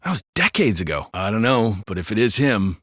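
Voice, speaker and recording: A man's voice: fairly low pitch, sing-song, fairly quick, dry recording, studio quality. A man voicing a gossiping, foul-mouthed American cop in a video game, talking in a colloquial, sardonic way. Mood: grave. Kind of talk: casual scripted banter for a video game.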